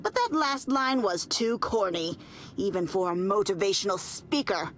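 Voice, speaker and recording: An actress playing a stern, prissy and menacing female librarian in an animated video game, prim, haughty and condescending. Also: mid-range voice, normal speed, a little hiss or hum, close to an everyday voice. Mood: haughty menace.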